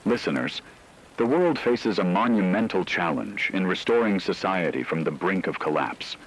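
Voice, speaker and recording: A man playing a solemn radio anchor, reading a scripted wartime news broadcast in a measured, formal way. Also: low voice, lively intonation, breathy edge, heavily acted, low-quality recording. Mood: grave, resolute. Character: solemn male radio anchor reporting a world war.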